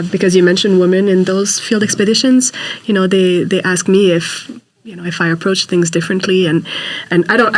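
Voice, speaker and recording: A woman with a mid-range voice speaks reflectively as a guest on a podcast. She thinks aloud as she answers, in the relaxed tone of a conversational interview. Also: mid-range voice. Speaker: geography professor and field researcher.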